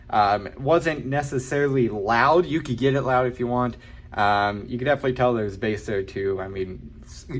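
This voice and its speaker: Regular guy doing an unboxing review, medium pitch, kinda halting, hedges a lot, super informal. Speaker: product reviewer. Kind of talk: casual unboxing and review talk.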